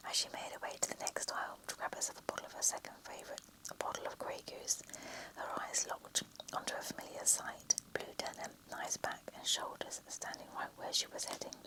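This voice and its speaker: A reader whispering a romantic story in a soft, unvoiced hush, with small slips and self-corrections. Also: brisk, poor audio. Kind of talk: whispered story reading, ASMR.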